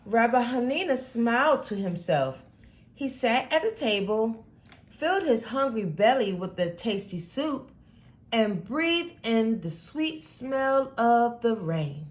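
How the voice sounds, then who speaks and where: A woman reading a picture book aloud to children as host, with deliberate, dramatic phrasing. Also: on the high side, very animated.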